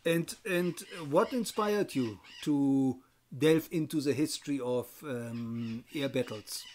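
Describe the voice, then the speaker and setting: A man interviewing a guest, asking polite and measured questions. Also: medium pitch, darkish tone, a little hiss or hum.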